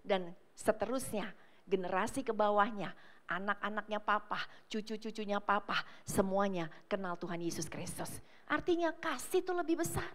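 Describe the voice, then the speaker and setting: A woman pastor preaching a sermon to a church service, speaking emphatically to the congregation and swinging between loud declarations and soft asides. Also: medium pitch, lively intonation, moderate pace. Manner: emphatic preaching, often addressing listeners as brothers and sisters.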